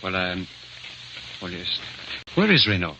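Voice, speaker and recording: A man's voice: deep voice, big pitch swings, unhurried, sharp tone, exaggerated voice. A man acting a nervous young man accused of spying in an old radio drama, hesitant, stammering and protesting. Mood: uneasy. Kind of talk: 1930s-40s radio acting. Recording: muffled, hissy old radio recording.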